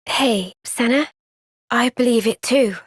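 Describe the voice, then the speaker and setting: Young female fantasy soldier for a game cutscene: high voice, unhurried delivery, earnest and soft-spoken. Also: slightly rough, no echo. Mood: wistful, earnest. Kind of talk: video game cutscene voice acting.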